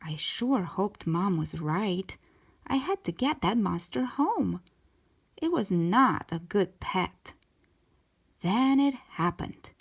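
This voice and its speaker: A woman's voice: medium pitch, expressive, slow, gravelly, slightly rough. A woman narrating a children's book aloud as a storytime reader.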